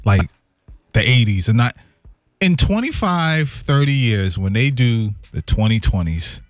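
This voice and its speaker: A man with a deep voice co-hosting a morning radio show, reacting dryly to his partner. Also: very animated, unhurried, no room sound. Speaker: radio co-host.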